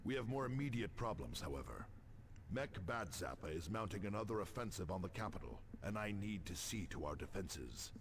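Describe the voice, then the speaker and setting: An actor playing an authoritative, grave space marine captain who speaks formally in a video game transmission. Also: male voice, low voice, sing-song, fairly quick. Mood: grave, commanding. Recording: game audio with faint noise.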